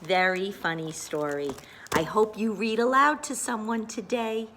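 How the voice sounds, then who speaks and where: A children's librarian, a woman, reading a picture book aloud to young listeners, playfully pausing before each animal sound and asking them questions. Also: medium pitch, fairly bright tone, a little hiss or hum.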